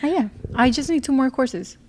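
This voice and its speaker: Animated young woman. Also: high-pitched, normal speed. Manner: animated, slangy, mixes English and Spanish. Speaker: podcast guest. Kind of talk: casual chat.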